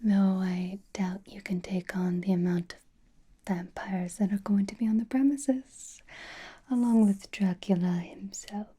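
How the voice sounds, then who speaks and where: Casting: woman as coy vampire companion for ASMR roleplay, hushed breathy whisper, unhurried, flirtatious and doting. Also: medium pitch, fairly even intonation. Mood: teasing, coy. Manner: coy, theatrical, old-fashioned endearments, lingering phrasing.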